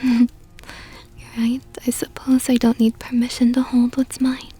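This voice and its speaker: A woman voicing a possessive, doting vampire countess in an intimate ASMR roleplay, whispering softly and slowly with a tender, teasing, coaxing manner. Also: on the high side, completely flat delivery, faint music behind. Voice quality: breathy, soft whisper. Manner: slow, coaxing, affectionate murmur.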